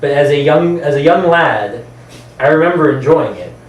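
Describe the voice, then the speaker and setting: A man's voice: on the high side, lively intonation, normal speed. A man hosting a casual movie chat, recorded in a roomy space at some distance from the mic. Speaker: podcast host. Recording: roomy, distant mic, faint background noise.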